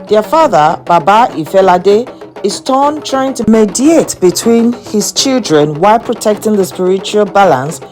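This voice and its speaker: A woman's voice: medium pitch, a little mellow. A woman narrating a scripted folktale as a storyteller.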